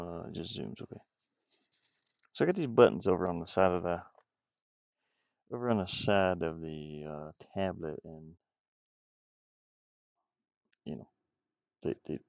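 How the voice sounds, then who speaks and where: Low-voiced guy kinda mumbling playfully to himself as he works, dry close sound, a bit dull. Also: vocal fry, a little mellow, no echo. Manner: playful muttering to himself, repeating words. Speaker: indie game developer streaming. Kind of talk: casual rambling commentary while drawing pixel art.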